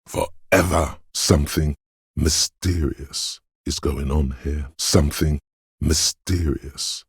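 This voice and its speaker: A man voicing an eerie, brooding inner voice of intuition and dread in a game, narrating in the second person with a deliberate, portentous, theatrical delivery. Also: extremely low pitch, fairly even intonation, vocal fry, husky, no echo, clean studio sound, exaggerated voice. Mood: ominous, mystical.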